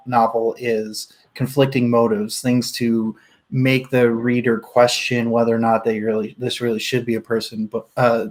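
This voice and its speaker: A man hosting a casual livestream book discussion, explaining his points as he thinks them through aloud. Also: mid-range voice. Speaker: book club host leading a discussion.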